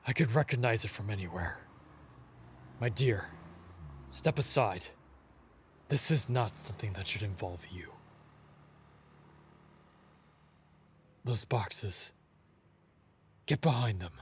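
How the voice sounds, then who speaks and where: A man playing a weakened, proud vampire with formal, solemn phrasing and a breathy voice that dips into whispers, in a dramatic ASMR audio roleplay. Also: lowish voice, animated, takes it slowly, pauses often, slight background noise. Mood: urgent, commanding.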